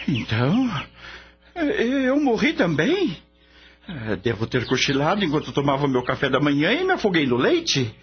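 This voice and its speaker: A man's voice: fairly high pitch, huge pitch swings, moderate pace, breathy edge, exaggerated voice. An actor playing a curious, naive Brazilian man full of bewildered questions in a radio drama. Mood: astonished. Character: curious, bewildered man visiting a spirit world. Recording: dull lo-fi radio recording with music. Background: music.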